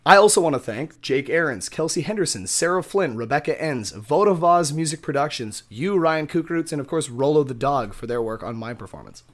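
A young man co-hosting a casual podcast, excitable and laughing often. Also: fairly high pitch, brisk, hardly any pauses. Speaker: podcast co-host.

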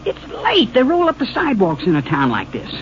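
A man's voice: high voice, wildly swooping pitch, a little fast. An actor playing a nervous, defensive teenage delinquent boy in a 1950s radio drama. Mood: edgy, defensive. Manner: edgy, defensive. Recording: muffled, noisy old radio recording.

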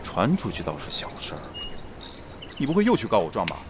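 Man as a cocky, low-voiced teenage troublemaker. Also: huge pitch swings, brisk, noisy background. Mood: taunting, threatening. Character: cocky, menacing male high school troublemaker. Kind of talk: Chinese audio drama acting.

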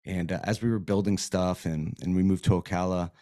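Hoarse male guest from Florida, very low voice; relaxed, rambling studio interview talk. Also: a little fast, no room sound. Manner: easygoing, rambling, says "man" often. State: losing his voice. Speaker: podcast guest. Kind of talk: casual interview chat. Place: podcast studio.

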